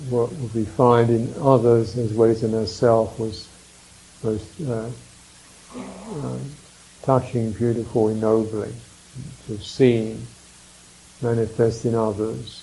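A man leading a guided meditation as a teacher, speaking contemplatively with reflective pauses and soft murmured mms. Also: mid-range voice, little pitch movement, gravelly, low-quality recording.

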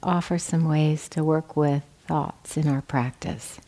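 A woman with a low, mellow voice leads a guided meditation. She speaks very slowly, in gentle phrases separated by pauses, like a teacher giving measured instruction.

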